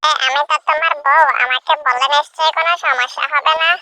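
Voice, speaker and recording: A woman's voice: high voice, steady tone, quick pace, sharp tone, no echo, studio quality. A woman voicing a curious village wife in a cartoon, pressing with questions. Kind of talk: cartoon voice acting.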